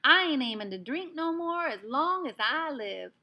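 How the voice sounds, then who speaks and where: A woman voicing a tiny, self-pitying, hard-drinking cowboy man with a put-on Texas drawl and a rough edge. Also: sits high, big pitch swings, normal speed, muffled recording, voice pushed up. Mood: angry. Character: crying drunk tiny Texan cowboy man.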